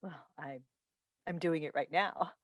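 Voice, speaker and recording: A woman playing a defensive daytime talk-show host and mother in a staged play reading, with a halting, earnest delivery. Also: deep voice, no room sound, clean studio sound. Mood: polite, unsure. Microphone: video call microphone.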